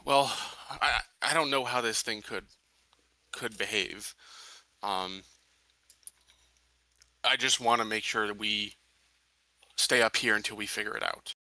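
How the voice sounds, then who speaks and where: Man, tabletop player, piercingly bright tone, casual improvised roleplay, uncertain, dry close recording. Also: on the low side, unhurried, pauses often, dry recording.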